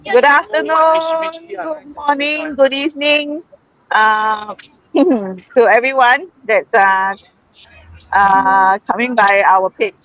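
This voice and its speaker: A woman's voice: sits high, fairly even intonation, slow pace, highs cut off. A woman from Singapore hosting a casual group live stream, chatty and laughing, with many fillers, speaking from a shopping mall.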